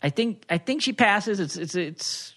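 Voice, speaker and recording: A man co-hosting a podcast, playfully quizzing a guest in a joking, halting way. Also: high voice, lively intonation, fairly bright tone. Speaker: podcast co-host. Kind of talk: casual chat, playful quizzing.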